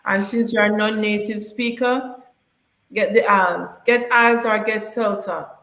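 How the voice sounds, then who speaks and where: A woman's voice: medium pitch, fairly even intonation, measured pace, fairly bright tone, roomy sound, poor audio. A woman co-hosting a live stream, reading out viewers' questions and encouraging them to like and subscribe. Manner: reads out viewer comments and urges viewers to subscribe.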